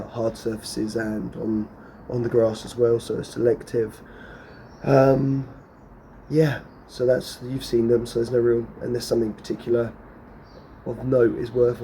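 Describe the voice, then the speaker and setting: Hoarse, flat-toned man vlogging outdoors. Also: medium pitch, a little hiss or hum. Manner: rambling, lots of fillers and restarts. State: hoarse, losing his voice. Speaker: lawn care vlogger. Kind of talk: casual vlog talk to camera.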